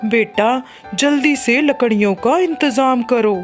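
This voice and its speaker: A motherly, shrewd bird from a cartoon, voiced by a woman. She speaks slowly and earnestly in a fairly high voice. Light music plays behind her. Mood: urgent, cautioning. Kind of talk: Hindi cartoon character acting.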